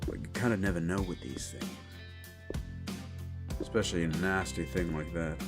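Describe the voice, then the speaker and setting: A man playing a gruff, wisecracking demon-born monster hunter in an action horror audio roleplay, talking in blunt tough-guy slang. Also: low voice, steady tone, normal speed. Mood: wry, relaxed. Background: music.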